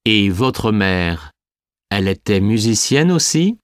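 Male interviewer, voiced by an actor, lowish voice, very slow deliberate questions, dry studio sound. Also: very animated, dry recording. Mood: polite. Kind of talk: scripted exam dialogue.

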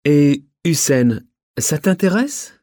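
A man presenting a recorded listening exam. He reads at a slow, deliberate pace with plenty of pitch movement. The sound is dry and close. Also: expressive, mellow, no room sound. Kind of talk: scripted exam narration.

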